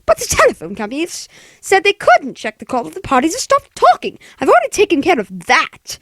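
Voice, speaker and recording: High-strung, fretful invalid wife. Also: female voice, huge pitch swings, fairly quick, a bit bright, dry recording, studio quality, exaggerated voice. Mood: anxious, insistent. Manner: fretful, melodramatic, stammering. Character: anxious, demanding, self-pitying bedridden invalid wife. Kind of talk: 1940s radio play acting.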